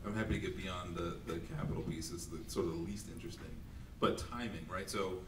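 A man moderating an onstage panel discussion, asking quick, loosely phrased questions into a mic. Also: deep voice, fairly even intonation, very noisy. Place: stage in a large event hall. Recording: roomy hall audio from a far camera.

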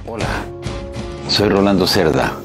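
An older man with a Chilean accent and a very low voice narrates in a slow, heartfelt and reflective way. Clear background music plays under his voice-over. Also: expressive. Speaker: testimonial narrator.

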